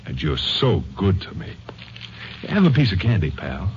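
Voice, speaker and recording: An actor playing a menacing, sarcastic convict killer with tough gangster slang in a 1950s radio crime drama. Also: male voice, low voice, sing-song, normal speed. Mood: mock friendly. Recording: muffled, noisy vintage radio recording.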